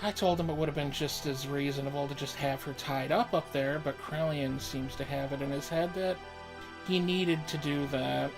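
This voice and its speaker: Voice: mid-range voice, steady tone, normal speed. A game streamer chatting casually and commentating for a livestream, with music underneath. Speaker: game streamer and fandub host.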